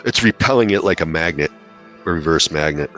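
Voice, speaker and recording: A man's voice: extremely low pitch, expressive, brisk, fairly bright tone. A man acting as game master, narrating and refereeing a tabletop role-playing game over music. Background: background music.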